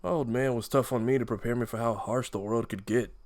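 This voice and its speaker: A man playing a gruff, blunt older father in a cartoon-style drama. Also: medium pitch, steady tone, a little fast, darkish tone, lightly acted. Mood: remorseful, sombre. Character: Pete, gruff sarcastic old widowed father.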